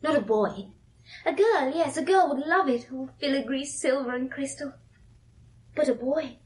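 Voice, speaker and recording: An actress playing a fragile, wistful young wife in a radio drama, speaking in a hushed, slow, dreamy and insistent way with a breathy voice. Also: female voice, high-pitched, lightly acted. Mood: defensive, wistful. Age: young adult. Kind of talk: old radio drama acting.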